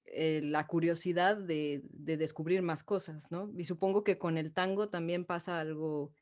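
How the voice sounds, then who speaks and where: A woman's voice: low-pitched, steady tone, moderate pace, darkish tone. A woman hosting an interview, thinking aloud in a reflective way. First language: Spanish. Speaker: host. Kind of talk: interview conversation.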